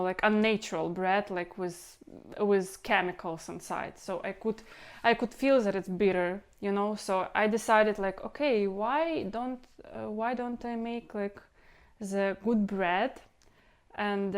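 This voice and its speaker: Clear-voiced woman with a Ukrainian accent. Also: medium pitch. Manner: rambling, repeats words, non-native phrasing. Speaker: home cooking channel host. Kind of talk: casual instructional vlog talk.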